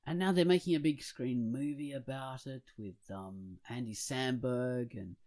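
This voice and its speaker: A man's voice: medium pitch, unhurried, a little mellow. A man co-hosting a podcast in casual, conversational discussion. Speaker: podcast co-host.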